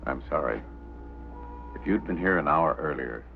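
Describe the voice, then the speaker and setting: A man's voice: rumbling low, lively intonation, moderate pace, vocal fry, full character voice. An actor playing a grave, matter-of-fact male doctor in a vintage American film drama. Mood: grave, firm. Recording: tinny old film soundtrack, faint noise.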